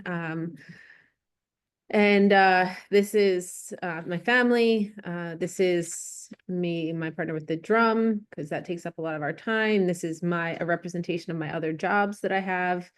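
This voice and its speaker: A woman's voice: fairly low pitch, clear, resonant tone. A woman taking part in a coaching demonstration on a video call, speaking hesitantly with many fillers. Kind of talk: casual explanation.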